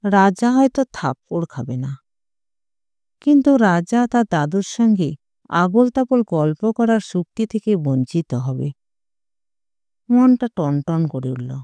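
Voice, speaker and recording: A woman's voice: lowish voice, big pitch swings, slow, pauses often, dark tone, no echo. A woman reading a literary short story aloud with expression. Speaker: audio story narrator.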